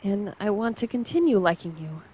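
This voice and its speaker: A woman's voice: medium pitch, lively intonation, brisk, a little mellow, slightly rough, low-quality recording. An actress playing a bashful, prickly young woman admitting affection in an audio drama.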